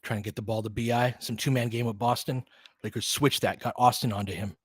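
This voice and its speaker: A man with a mid-pitched voice calls a basketball game live. His delivery is informal and partisan, built from short clipped phrases, and excitable when the play heats up. The sound is dry, with no room around it. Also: a little fast, slightly rough, no echo. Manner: partisan, excitable on big plays. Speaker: fan play-by-play commentator.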